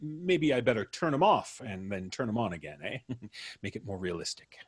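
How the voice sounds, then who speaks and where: An actor playing a polite, probing, quietly menacing visitor in an old-time radio mystery, musing deliberately with frequent hums. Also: male voice, on the low side, big pitch swings, husky. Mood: coaxing, commanding.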